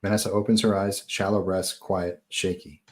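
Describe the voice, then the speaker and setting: Low-voiced man narrating a horror script. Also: level tone, normal speed. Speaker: narrator reading stage directions. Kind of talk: scripted narration at live table read.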